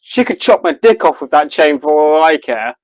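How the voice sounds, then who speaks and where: Male podcast co-host, slightly high voice, very dry sound, casual and sweary joking. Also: expressive, normal speed, dry recording. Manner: crude, self-deprecating joking, swears freely. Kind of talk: casual chat, watch party banter.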